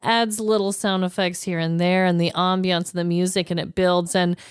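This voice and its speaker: A woman's voice: on the low side, little pitch movement, normal speed, clear, resonant tone, no room sound, clean studio sound. A woman co-hosting a podcast with casual comedic banter and a playfully mock-dramatic way of talking. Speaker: podcast co-host.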